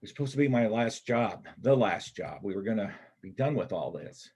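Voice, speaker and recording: A man acts out a wounded fugitive bank robber in a stage play reading. The voice is on the low side and rough around the edges. He is rattled and defensive, stammering and rambling as he explains himself. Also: lively intonation, a little fast, darkish tone. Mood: weary, rambling. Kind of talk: readers' theater play acting.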